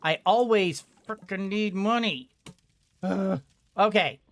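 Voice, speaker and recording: A woman's voice: medium pitch, takes it slowly. A woman giving casual let's play commentary, thinking aloud with hesitant phrasing as she goes. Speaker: gaming streamer.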